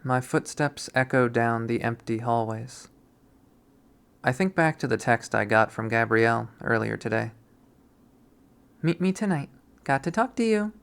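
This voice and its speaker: Expressive visual novel narrator, slow pace. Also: mid-range voice. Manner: expressive, performative reading. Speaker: video game readthrough streamer.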